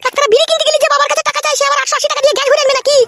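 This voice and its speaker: A woman voicing a squeaky, shrill, foul-mouthed cartoon wife who quarrels and taunts. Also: little pitch movement, rapid-fire, gravelly, over music. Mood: scolding, combative. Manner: combative, taunting.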